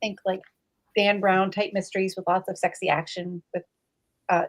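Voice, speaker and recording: A woman's voice: medium pitch, little pitch movement, normal speed. A woman speaking casually as an author and co-host of a livestream book club, over a video call.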